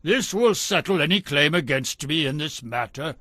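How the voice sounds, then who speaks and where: A man's voice: on the high side, animated, moderate pace, sharp tone, no echo, studio quality, pitched above the performer's own voice. A man playing an emphatic, declamatory ancient king in a dramatized audio Bible. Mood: emphatic, magnanimous. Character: indignant ancient king, pleading and accusing.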